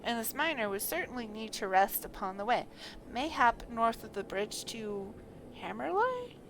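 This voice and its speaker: A woman's voice: a little high, very animated, normal speed, noisy background. A woman voicing an eager little male officer from a fantasy game, emphatic and formally archaic. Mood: knowing.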